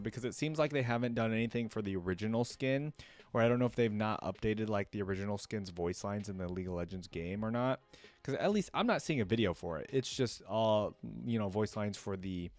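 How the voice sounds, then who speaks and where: A man's voice: on the low side, darkish tone, dry recording. A man hosting a reaction video, giving casual commentary in an informal, chatty, rambling way.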